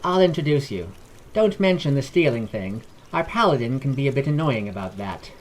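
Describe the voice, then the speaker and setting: A man voicing a calm elf woman cleric. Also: medium pitch, normal speed, a little hiss or hum, close to an everyday voice, rough recording. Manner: calm, unaccusing. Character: calm, welcoming female lunar elf cleric. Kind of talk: audio fiction character dialogue.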